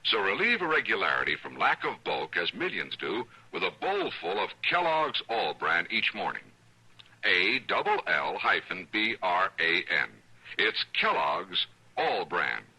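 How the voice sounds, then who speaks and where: Vintage radio announcer: American man, punchy and sharp, animated delivery for sponsor spots and credits. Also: sits low, measured pace, husky, low-quality recording. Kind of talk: 1950s radio announcing and ad reading. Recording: old radio recording.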